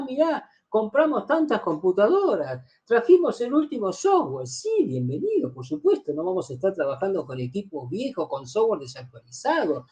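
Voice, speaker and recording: Middle-aged man, university lecturer: animated, repetitive, keeps checking students follow. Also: high voice. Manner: explanatory, repeats key phrases, asks students rhetorical questions.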